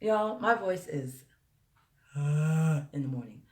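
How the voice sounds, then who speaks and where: A woman vocal coach with a slightly hoarse, tired voice, chatting directly to viewers during a live-stream lesson and answering their questions. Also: low voice, lively intonation, slow, faint music behind. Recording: roomy echo.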